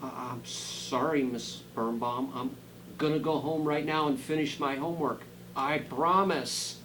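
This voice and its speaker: A man voicing a schoolboy in a storybook, with plain, slightly halting delivery. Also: medium pitch, steady tone, a bit bright, distant mic, a little hiss or hum, lightly acted, poor audio. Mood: meek, apologetic. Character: schoolboy, casual then meek and apologetic.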